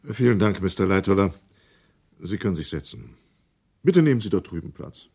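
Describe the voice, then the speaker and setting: A man's voice: low voice, expressive, moderate pace, warm tone, full character voice. An actor playing a courteous, official male committee chairman who examines witnesses in a German documentary radio drama. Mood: formal, brisk. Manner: official, crisp wording, courteous.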